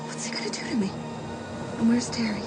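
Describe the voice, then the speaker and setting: A woman playing a jumpy, squeamish but soft-hearted teenage girl in an audio drama, expressive and exclamatory. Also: fairly high pitch, brisk, close to an everyday voice. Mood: frightened, puzzled. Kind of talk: audio drama acting with whispered inner thoughts. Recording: loud music bed behind the voice.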